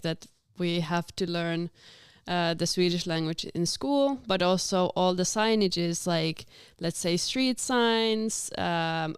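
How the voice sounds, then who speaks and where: A young woman from Finland with a very clear, smooth voice, chatting as a guest with a slightly low pitch. She laughs often between words, recorded close and dry. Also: no room sound. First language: Finnish. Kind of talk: casual chat.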